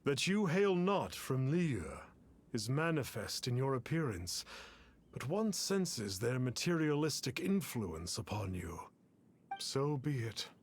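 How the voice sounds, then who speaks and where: Slow, pompous immortal sage. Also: male voice, medium pitch, slight background noise. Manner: pompous, archaic, measured phrasing. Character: self-styled male immortal sage, grandiose, cryptic, aloof. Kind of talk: theatrical video game voice acting.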